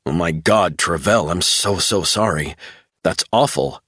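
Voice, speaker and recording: A man voicing a steady, matter-of-fact man who talks a grieving friend through a crisis. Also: low voice, a little slow, breathy edge, dry recording, studio quality, exaggerated voice. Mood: calm concerned. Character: steady, blunt adult man, concerned friend.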